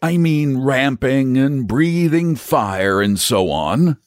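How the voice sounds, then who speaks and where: A man's voice: mid-range voice, animated, slow pace, dry recording, studio quality, pitched above the performer's own voice. A man voicing an earnest, patient, confident storybook knight who reasons in an eager, persuasive way. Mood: reminding. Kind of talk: storybook character voice.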